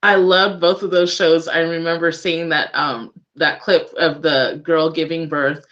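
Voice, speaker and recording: A woman's voice: low-pitched, level tone, a little slow, a bit bright, muffled recording. A woman hosting a casual, conversational interview, informal and responsive to her guest. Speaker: radio show interviewer.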